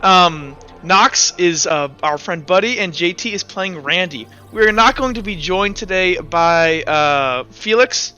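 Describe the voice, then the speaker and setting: Bright, high-voiced male game master. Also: a little slow, few pauses, clean tone, muffled recording. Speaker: game master of an online tabletop game. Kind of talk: casual game talk, introducing players and answering questions. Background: music.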